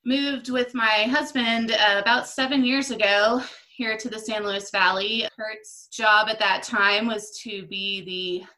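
Woman with a texan accent, relaxed chatty interview guest, laughs easily, bright voice. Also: medium pitch, unhurried, rough recording. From Texas. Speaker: podcast guest. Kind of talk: conversational interview.

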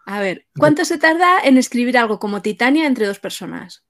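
A woman's voice: fairly high pitch, expressive, a little fast. A woman with an accent from Spain hosting an informal interview and putting questions to guests. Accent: Spanish from Spain. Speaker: interviewer, host.

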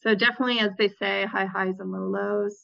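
A woman in her thirties answers interview questions in a relaxed, reflective way. She pauses and restarts often as she works through her memories. The recording sounds a little dull and lo-fi. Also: mid-range voice, creaky. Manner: reflective, with frequent restarts and fillers. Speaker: interview guest, former Peace Corps volunteer.

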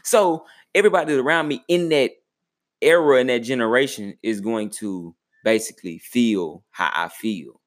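A young man co-hosting a podcast, talking in a laid-back, measured way and often trailing off quietly. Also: mid-range voice. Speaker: podcast co-host. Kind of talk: casual chat.

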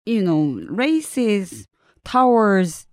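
A woman's voice: medium pitch, very animated, extremely slow, dry recording, studio quality. A woman with a Japanese accent, speaking English as a guest in casual conversation and carefully searching for her words. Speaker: podcast guest.

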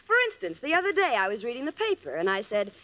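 A woman's voice: on the high side, fast talker, rough recording. An actress playing a wisecracking, affectionate young American working girl in a 1940s radio sitcom. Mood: wry, fond. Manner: wry, animated, theatrical.

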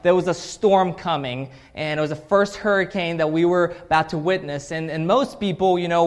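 Man telling a halting story from the pulpit. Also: high-pitched, darkish tone. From Russia. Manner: halting storytelling with many fillers and restarts. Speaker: preacher. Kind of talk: scripture reading then personal story sermon.

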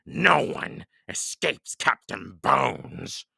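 Mean giant pirate boss, male reader: growling, commanding, forceful, lively swings. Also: medium pitch, drawn-out words, gravelly, husky, no room sound, studio quality, voice pushed up, exaggerated voice. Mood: menacing. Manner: roaring, commanding.

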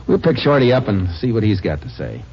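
An actor playing a calm, skeptical lawman with a terse, authoritative manner in a 1950s radio drama. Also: on the low side, fairly quick. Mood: skeptical, firm. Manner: terse, matter-of-fact. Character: calm, skeptical, authoritative Texas Ranger investigator. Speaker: lead character and story narrator. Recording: dull, hissy old radio recording.

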